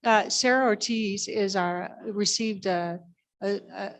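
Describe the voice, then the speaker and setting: A woman's voice: medium pitch, slow pace. A woman speaking informally to a meeting audience as she introduces a guest.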